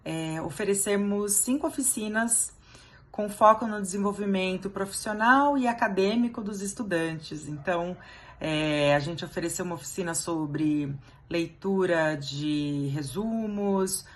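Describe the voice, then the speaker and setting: A woman's voice: mid-range voice, measured pace. A woman giving an upbeat, orderly testimonial as a student teaching assistant.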